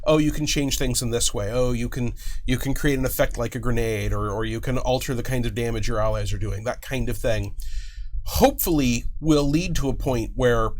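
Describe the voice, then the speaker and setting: A man's voice: medium pitch, a little fast, darkish tone. A middle-aged man giving methodical explanations in an interview, working through hypothetical examples. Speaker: game designer, guest. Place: small curtained studio, indoors.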